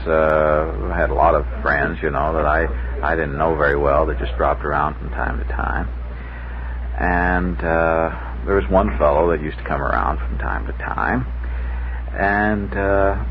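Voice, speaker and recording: An American man from Illinois telling a rambling anecdote as a radio interview guest, hesitant, halting and self-deprecating. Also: sits low. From Illinois, United States. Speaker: guest, author and old-radio collector. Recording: muffled 1960s radio recording, faint noise.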